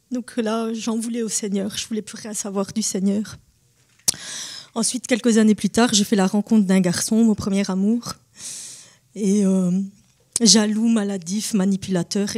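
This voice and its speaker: A woman's voice: medium pitch, a little mellow. A woman in her thirties of Italian family background, giving a hesitant, earnest testimony at a church baptism service. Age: 30s. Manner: earnest, hesitant with many fillers.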